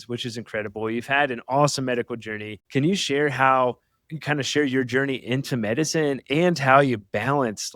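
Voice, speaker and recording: An upbeat man hosts a casual podcast chat, piling on enthusiasm and warm praise. His mid-range voice sits close to the microphone in a dry, dead-sounding space. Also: medium pitch, no room sound. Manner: enthusiastic, chatty, many "awesome" and "super cool". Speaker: podcast host.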